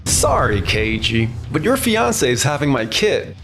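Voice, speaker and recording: Cocky salesman played by a man, wildly swinging pitch. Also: big pitch swings, measured pace, over loud music. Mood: smug. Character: cocky, smug male top salesman who steals brides.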